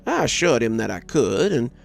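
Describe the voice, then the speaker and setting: A man reading a scripted first-person story aloud as narrator, in a measured, reflective way. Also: medium pitch, very animated, vocal fry, soft background music.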